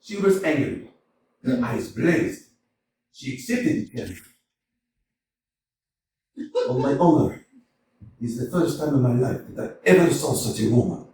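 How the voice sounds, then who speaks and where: A man voicing a hot-tempered, blustering landowner in a staged reading of a play, with theatrical shouting bursts. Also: mid-range voice, expressive, measured pace, pauses often, slight background noise. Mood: impassioned. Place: small community theater. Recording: echoey room, far from mic.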